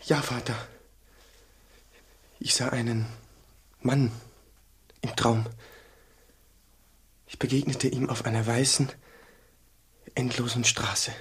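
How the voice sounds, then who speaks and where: An actor playing a humble, earnest monk in a radio drama, deferential and hesitant, with an agitated intensity. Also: male voice, mid-range voice, little pitch movement, slow pace, long pauses, slight background noise, lightly acted. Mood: urgent, awed. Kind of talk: German radio play acting.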